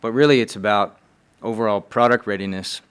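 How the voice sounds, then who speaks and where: A man's voice: on the low side, a little fast, clean tone. A man speaking in a conversational style as he discusses his work on a podcast. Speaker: economic development official, podcast speaker.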